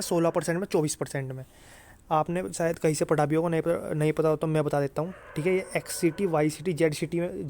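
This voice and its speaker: North indian guy, slightly high voice, explaining stuff to students, keeps checking they get it, some noise behind him. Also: a little mellow. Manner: conversational, repeats points and checks understanding with tag questions. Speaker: exam-prep YouTuber explaining job salaries. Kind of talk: informal explainer aimed at students.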